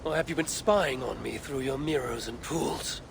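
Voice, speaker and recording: An actor playing a proud, wrathful elder god-king in a video game, gravelly and grandly archaic in his speech. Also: mid-range voice, moderate pace, background noise, natural voice. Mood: brooding. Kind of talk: dramatic video game acting.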